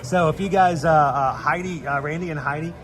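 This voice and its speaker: A middle-aged man with a Georgia Southern accent, hosting a casual livestream chat and telling stories with easy laughs. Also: on the high side. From Atlanta area, Georgia. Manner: chatty, storytelling, quick to laugh. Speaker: livestream host. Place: outdoors at a theme park. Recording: outdoor park audio with music behind.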